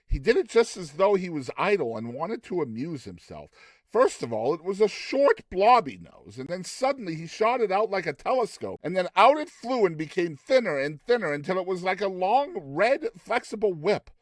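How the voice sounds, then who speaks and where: A man giving a dramatized audiobook narration, theatrical and expressive, with deliberate phrasing. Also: high-pitched, dry recording. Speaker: narrator of short story.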